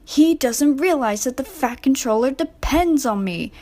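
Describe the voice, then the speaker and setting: Storybook tank engine, young male female reader: proud, impatient, bossy, high voice. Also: animated, unhurried, darkish tone. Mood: cross, scolding. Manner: bossy, emphatic, chanting when hurrying.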